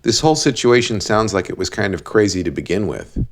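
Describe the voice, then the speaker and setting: A man playing a blunt, deadpan cab driver who gives relationship advice in an animated series. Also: lowish voice, fairly quick, a little hiss or hum. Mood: blunt, analytical.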